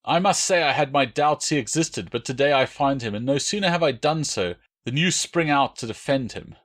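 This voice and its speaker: A man's voice: mid-range voice, animated, normal speed, fairly bright tone, voice pushed up. A man voicing a big, cruel, vengeful Roman soldier, hot-tempered, accusing and sneering in an audiobook. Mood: sneering accusation.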